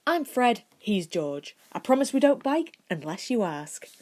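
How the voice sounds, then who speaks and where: A cheeky teenage prankster boy, voiced by a woman reading a story, talks in a teasing, persuasive way. The pitch is raised and playful. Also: very animated, a little slow, darkish tone.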